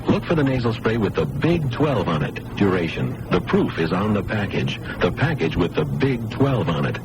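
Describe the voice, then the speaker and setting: A man reading a scripted radio commercial as an announcer with an emphatic sales pitch. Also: sing-song, normal speed. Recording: noisy old radio broadcast recording.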